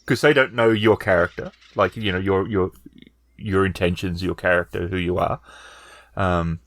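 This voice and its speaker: A man narrating a tabletop game as game master, improvising with halting, hesitant phrasing. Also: low-pitched, fairly even intonation, a bit bright, dry recording.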